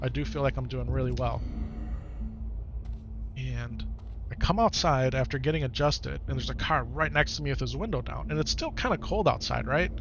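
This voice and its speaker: A man's voice: mid-range voice, normal speed, gravelly, highs cut off, noisy background. A man with an Upper Midwest American accent, chatting casually to his viewers during a live game stream and often repeating himself. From Wisconsin, United States. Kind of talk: casual live-stream chat over gameplay.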